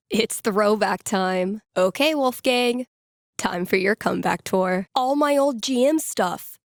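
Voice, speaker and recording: Young American woman, game character, wry, casual, self-amused muttering, gravelly fry, close dry sound. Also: mid-range voice, dry recording. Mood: upbeat, wry. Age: young adult. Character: wry young woman, upbeat record store DJ and tabletop gamer. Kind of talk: naturalistic video game voice acting.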